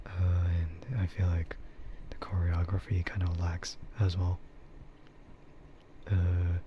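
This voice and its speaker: A man doing casual ASMR stream chat, soft, breathy and mostly whispered, in a hesitant, rambling way. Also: deep voice. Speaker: streamer, VTuber. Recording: dull, muffled audio with loud ambient noise.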